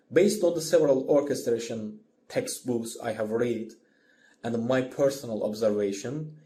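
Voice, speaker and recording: A man who is a non-native English speaker narrates a scripted music lesson as the instructor, in a deliberate, explanatory manner. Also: mid-range voice, mellow, slight background noise. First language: not English.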